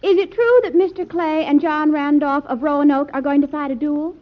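An actress playing a bold, wily young newspaper reporter in an old-time radio drama, brisk, cheeky and persistent. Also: female voice, high-pitched, close to an everyday voice. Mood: brisk, probing. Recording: muffled vintage radio recording.

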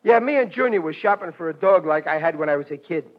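A man's voice: on the high side, lively intonation, fast talker, natural voice. A man playing a brash, good-humored working-class American family man in a slangy 1940s radio comedy style. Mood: amused. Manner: wisecracking, slangy, ungrammatical. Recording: dull vintage 1940s radio recording.

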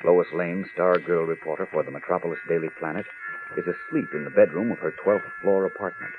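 A man's voice: sits low, steady tone, a little fast, background noise, close to an everyday voice. A man acting as a grave, suspenseful narrator in a theatrical 1940s radio drama style. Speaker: announcer and narrator. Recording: dull old radio recording. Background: dramatic music.

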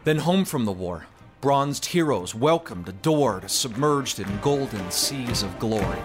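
A man's voice: medium pitch, lively intonation, a little slow. A man reading a literary story as narrator, in a dramatic audiobook style. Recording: music behind the voice. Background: background music.

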